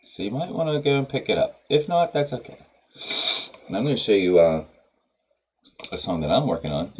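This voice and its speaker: Brief: male streamer, thirties, deep husky voice, congested with a cold, loose rambling chat over a headset. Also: animated, a little fast, a little mellow. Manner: rambling, many ums and restarts, laughs easily. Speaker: livestream host of a music workshop. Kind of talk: casual livestream chat. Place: home studio room.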